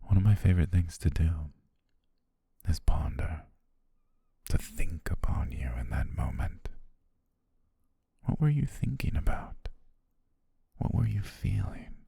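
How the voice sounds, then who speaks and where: A man playing an obsessive, menacing photographer in love, speaking slowly and intimately in an ASMR roleplay with a husky, breathy voice. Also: big pitch swings, no echo, heavily acted. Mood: adoring, obsessive. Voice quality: very deep, husky, creaky, breathy. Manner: slow, hushed, lingering pauses. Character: obsessive, menacing yandere male photographer stalking his beloved.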